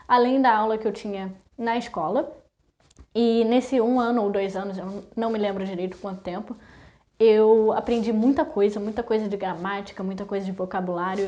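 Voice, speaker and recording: A young Brazilian woman chatting to her viewers in a relaxed vlog. Her voice sits a little high and sounds warm and mellow. She talks casually and rattles off lists in quick runs. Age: young adult. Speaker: vlogger. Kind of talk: casual talk to camera.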